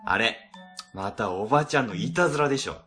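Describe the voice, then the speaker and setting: A man's voice: medium pitch, lively intonation, a little fast, sharp tone, soft background music. A man playing a weary, exasperated middle-aged office boss in a radio comedy drama. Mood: flustered, accusing.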